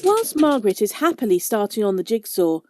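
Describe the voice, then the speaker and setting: A woman's voice: mid-range voice, sing-song, brisk, background noise. A woman narrating a radio play as its announcer, reading scripted scene descriptions and credits.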